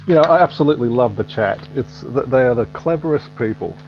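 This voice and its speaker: A man's voice: mid-range voice, gravelly. A middle-aged man, a guest in a casual online chat, hesitant and repeating his words, chuckling now and then. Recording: dull lo-fi mic with faint hiss.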